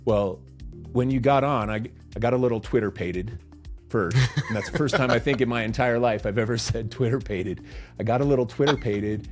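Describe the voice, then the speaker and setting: A man's voice: mid-range voice, a little fast, soft background music. A man co-hosting a podcast in a studio, chatting casually and joking, often laughing. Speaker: podcast co-host. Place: recording studio.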